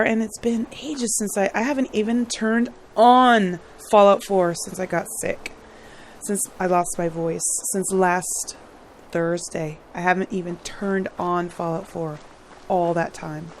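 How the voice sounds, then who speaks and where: Woman, hoarse voice, casual gaming chatter, rambling, clears throat often, mid-pitched, faint background hiss. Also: fairly even intonation, slow pace, a little mellow. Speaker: gaming channel host. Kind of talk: casual gameplay commentary.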